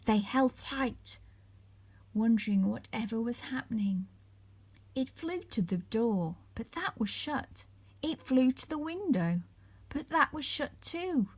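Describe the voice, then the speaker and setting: A woman reading a children's bedtime story aloud as an expressive storyteller. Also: a little high, slow, husky.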